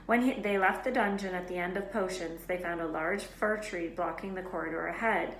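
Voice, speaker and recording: A woman reading a children's novel aloud as an expressive storyteller. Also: fairly low pitch, brisk. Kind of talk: expressive scripted children's book narration. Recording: echoey room with faint noise.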